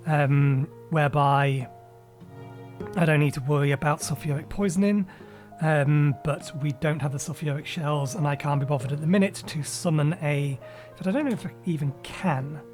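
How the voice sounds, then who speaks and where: A man chats his way through a video game session, correcting himself mid-sentence and filling gaps with ums. His voice sits a little high and carries a slight rasp left over from a cold. Quiet music plays faintly behind him. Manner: rambling, self-correcting with frequent ums. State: recovering from a cold, a little raspy. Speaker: gaming channel host. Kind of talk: casual let's-play commentary.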